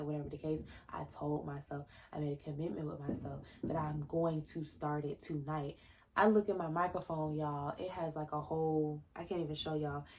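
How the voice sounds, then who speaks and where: A woman's voice: deep voice, slight background noise. A woman in her early twenties hosting a casual solo podcast from home. She talks loosely and wanders between tangents, with lots of fillers and restarts. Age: 20s. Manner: rambling, jumps between tangents. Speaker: podcast host. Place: at home, indoors. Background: faint fireworks popping.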